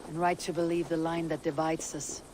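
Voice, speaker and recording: A performer playing a calm, measured Viking clan leader in a video game cutscene. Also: medium pitch, a little hiss or hum, close to an everyday voice. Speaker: game protagonist.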